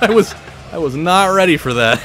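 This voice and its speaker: A man hosting a gaming video, telling stories in a casual, animated way with swearing. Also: fairly high pitch, brisk, a little hiss or hum. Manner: animated storytelling with profanity. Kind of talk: casual chat over a racing game. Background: loud game music and car engines.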